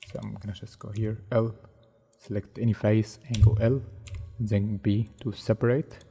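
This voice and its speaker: Tutorial narrator, man, non-native English, gravelly and fairly low, halting think-aloud delivery. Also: level tone, warm tone. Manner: unhurried, thinks aloud step by step with many hesitations. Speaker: software tutorial instructor. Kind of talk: narrating a screen-recorded modeling tutorial.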